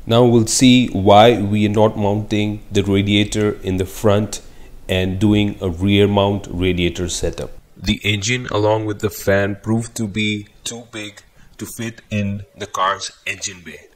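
A man from India talks slowly and steadily through his car project. His voice sits on the low side, and he explains things plainly with the odd filler. Parts of it sound like a phone recording in a garage workshop. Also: flat delivery. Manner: slow, matter-of-fact walkthrough with fillers. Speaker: car build vlogger. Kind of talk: casual explanatory voice-over and on-site commentary.

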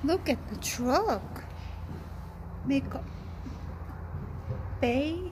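A woman's voice: high voice, drawn-out words, noisy background. A woman narrating a casual vlog walkthrough in short exclaimed comments, filmed on a handheld phone indoors. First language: Korean. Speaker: travel vlogger. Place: vehicle museum indoors.

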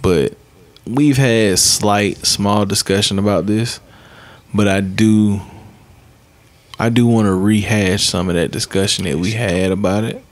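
A man's voice: fairly low pitch, unhurried. A man of about thirty hosting a casual group podcast, talking in slangy, profane style into a studio microphone. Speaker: podcast host. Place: small podcast studio with foam panels. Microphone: podcast microphone on boom arm.

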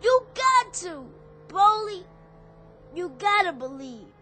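A woman's voice: extremely high pitch, big pitch swings, slow pace, sharp tone, a little hiss or hum, heavily acted. A woman playing an earnest, pleading young boy in a radio drama, with simple childlike speech. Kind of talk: dramatic radio play acting.

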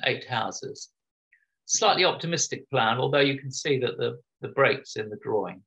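A man's voice: high-pitched, fairly bright tone. A man, giving an informal illustrated local history talk over a video call, chatty with frequent ums and restarts. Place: home room. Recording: dull lo-fi video call audio.

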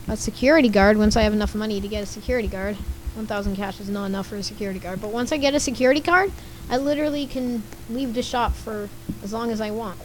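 A young woman with a slightly scratchy voice giving casual, giggly commentary over gameplay and reacting to what she reads on screen. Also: on the high side. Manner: chatty, giggly, reads game chat aloud and reacts. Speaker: gaming YouTuber.

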